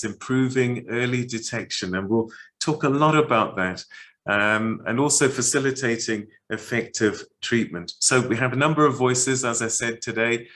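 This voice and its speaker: Male host, 50s, mid pitch, warm and halting, video call. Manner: warm, halting with many uh fillers. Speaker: webinar host. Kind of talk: hosting a charity webinar. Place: studio set, indoors.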